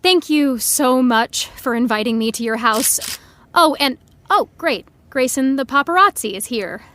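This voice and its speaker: Casting for audio drama: polite, nervous fangirl, high-pitched, slow and hesitant, natural dialogue. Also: lively intonation, fairly bright tone, a little hiss or hum. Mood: flustered awe. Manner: hesitant, halting, politely deferential. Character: nervous, polite female fan with private indignation.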